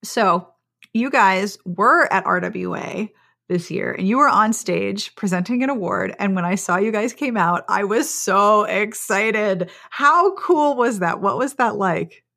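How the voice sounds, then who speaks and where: A woman's voice: mid-range voice, expressive, unhurried, creaky, pushed and bright. Effusive woman hosting a chat. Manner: effusive, enthusiastic. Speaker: podcast host, interviewer. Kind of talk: casual chat.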